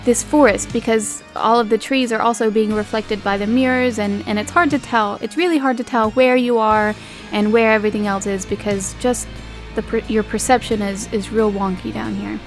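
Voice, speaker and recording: A woman's voice: on the high side, steady tone, normal speed, faint music behind. A woman improvising descriptions as game master of a tabletop roleplaying game, in an informal, feeling-her-way manner.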